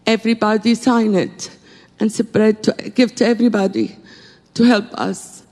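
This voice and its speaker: A woman's voice: fairly high pitch, darkish tone. Earnest woman pleading. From Syria. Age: middle-aged or older. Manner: earnest, pleading, in broken English. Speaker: audience speaker. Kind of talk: impassioned appeal from the floor. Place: conference hall. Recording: reverberant hall.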